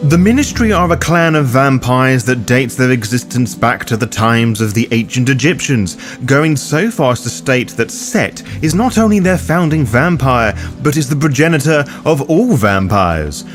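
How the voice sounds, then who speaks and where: A man's voice: medium pitch, expressive, moderate pace, hardly any pauses. A man narrating a scripted roleplaying guide as its host, theatrical and formally worded, with playful asides. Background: background music.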